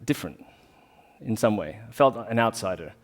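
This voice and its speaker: Aussie guy talking to a small crowd, kinda low voice, earnest, lots of ums and restarts. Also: lively intonation, moderate pace. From Australia. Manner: earnest, reflective, with restarts and fillers. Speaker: talk speaker, rolfer. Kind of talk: informal community talk.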